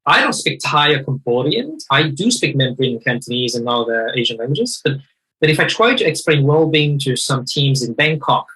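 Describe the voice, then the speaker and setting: Bright-voiced, husky man. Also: fairly high pitch, low-quality recording. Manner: animated, emphatic, rephrases mid-sentence. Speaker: guest speaker. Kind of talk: conversational discussion.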